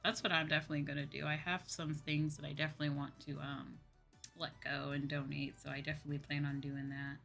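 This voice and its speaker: A woman's voice: deep voice, flat delivery, fast talker, gravelly, a bit bright. A young woman livestreamer chatting casually in a slangy, exclamatory way, like a VTuber talking to her viewers. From United States. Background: background music.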